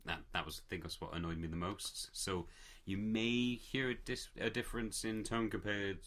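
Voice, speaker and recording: A man's voice: on the low side, fairly even intonation, normal speed, a bit bright. A man chatting casually on a podcast, rambling a little with fillers. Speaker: podcast co-host. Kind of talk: casual chat.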